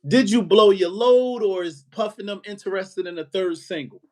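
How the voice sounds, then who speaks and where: A man hosting a podcast, asking questions in a relaxed way with frequent short affirmations. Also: high voice, normal speed. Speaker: podcast host. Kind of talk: casual interview questions.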